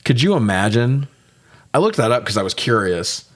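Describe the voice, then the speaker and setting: A man's voice: medium pitch, normal speed. A man co-hosting a casual movie review chat, griping with plenty of swearing. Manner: profane, animated griping. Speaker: podcast co-host reviewing movies.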